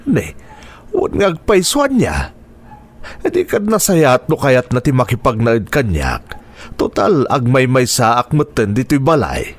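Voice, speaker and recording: A male actor playing a lonely, grieving widowed teacher, gentle and resigned, in an Ilocano radio drama with a slow, reflective delivery. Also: mid-range voice, wildly swooping pitch. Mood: friendly, brighter.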